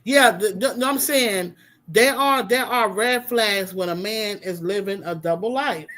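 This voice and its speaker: A woman in her fifties chatting on a panel, talking in a relaxed, rambling way with lots of affirmations. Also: mid-range voice, unhurried. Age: 50s. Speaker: panel co-host. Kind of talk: casual chat.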